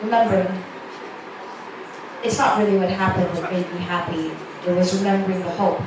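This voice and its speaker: A woman playing an earnest, wistful young medical student in a halting, sincere dramatic reading. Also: lowish voice, fairly even intonation, lightly acted. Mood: tender. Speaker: reader at a literary festival. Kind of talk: dramatic reading of a romance novel's dialogue. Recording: echoey, noisy room from far off.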